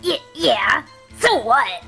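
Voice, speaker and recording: Woman voicing a brash, high-pitched little boy. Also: animated, takes it slowly, creaky, bright, forceful tone, soft background music, full character voice. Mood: defiant. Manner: cheeky, slangy, stammers when cornered. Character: brash, excitable young boy witness, hero-worshipping kid. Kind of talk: fan dub courtroom game acting.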